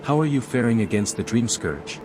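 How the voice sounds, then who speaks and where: An actor playing a composed, resolute envoy hero in a video game, speaking in measured, direct phrases. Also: male voice, mid-range voice, sing-song, natural voice. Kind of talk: video game dialogue acting. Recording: echoey hall reverb over music.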